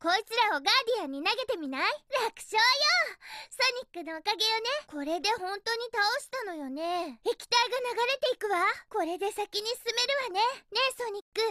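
A woman voicing a cheerful, feisty young female hedgehog in a Japanese video game, with a perky, girlish and expressive delivery. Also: very high-pitched, a little fast, no room sound, clean studio sound, full character voice. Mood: perky, determined.

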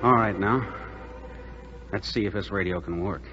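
An actor playing a glum, resigned radio operator of a downed crew in a 1940s radio drama. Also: male voice, lowish voice, moderate pace, over music, close to an everyday voice. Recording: noisy, muffled 1940s radio recording.

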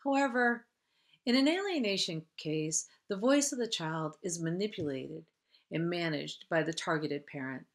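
A middle-aged woman hosting in a measured, formal way, as if presenting from a script. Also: fairly low pitch, lively intonation. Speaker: host, counsellor.

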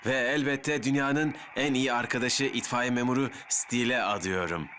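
A man's voice: mid-range voice, normal speed, slight background noise, lightly acted. A man voicing a cheerful, starry-eyed young fireman in a Turkish cartoon dub, earnest and eager. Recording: loud music behind the voice.

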